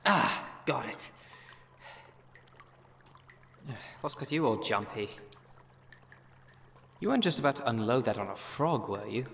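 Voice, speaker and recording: A man's voice: lowish voice, fairly bright tone. A man playing a wry witch in cavernous reverb. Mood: strained, irritated. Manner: conversational, sardonic, swearing. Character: wry, world-weary city witch, academy-trained mage. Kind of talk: ASMR audio roleplay, one-sided dialogue. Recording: long reverb, noisy background.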